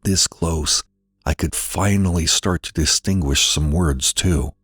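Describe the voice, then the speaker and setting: A man narrating a scripted horror story in a deliberate, dramatic delivery with weighted pauses. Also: low voice, a bit bright, slightly rough, no echo, studio quality, soft background music.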